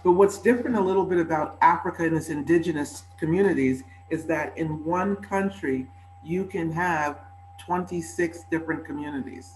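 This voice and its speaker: A man's voice: fairly high pitch, normal speed. Earnest man in an echoey room. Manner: earnest, filler-heavy, self-correcting. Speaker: conference panelist. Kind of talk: casual panel discussion. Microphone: video call. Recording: echoey room with faint hum.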